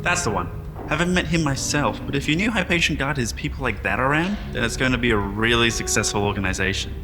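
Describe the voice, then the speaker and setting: A man's voice: mid-range voice, animated, fast talker, natural voice. Actor as a wry man in a big hall. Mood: easygoing, wry. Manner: self-deprecating, reflective, conversational. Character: wry, modest man revived from centuries in cryostasis. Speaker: interviewee at a press appearance. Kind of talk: sci-fi audio drama acting. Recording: long hall reverb, music behind.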